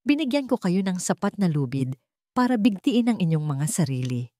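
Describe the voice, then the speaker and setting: A woman voicing a stern, wealthy older father-in-law in a narrated story, measured, solemn and authoritative. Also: lowish voice, sing-song, warm tone, dry recording, studio quality. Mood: cold, commanding. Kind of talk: acted dialogue in a narrated story.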